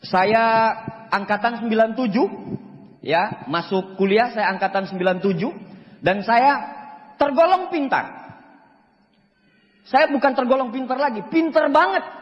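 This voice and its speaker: A man in his forties with a high voice speaks to a seminar crowd, boastful and joking, pushing his key points hard. His voice rings with the long reverb of a large hall. The recording sounds dull and lo-fi. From Central Sulawesi, Indonesia. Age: 40s. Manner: joking, boastful, emphatic, with rising pushes. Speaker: motivational speaker. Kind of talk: seminar talk to an audience.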